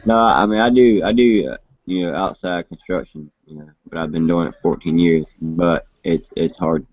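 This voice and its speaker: Man in his late twenties, very low voice, dry muffled sound, laid-back rambling chat. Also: steady tone, moderate pace, darkish tone, no echo. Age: 20s. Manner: rambling, laid-back storyteller with frequent restarts. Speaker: host. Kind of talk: casual chat. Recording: muffled lo-fi live stream audio.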